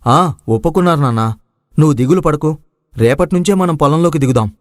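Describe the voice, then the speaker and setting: A man's voice: on the high side, expressive, brisk, dry recording, clean studio sound, natural voice. A man playing an earnest, respectful, devoted young son who farms, in a dramatized moral story. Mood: reassuring. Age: young adult.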